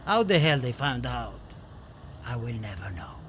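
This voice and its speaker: An actor playing an impassioned, lyrical Spanish poet held prisoner, declaiming dramatically in a theatrical radio play. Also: male voice, medium pitch, very animated, unhurried, a bit bright, slight background noise. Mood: weary, bitter.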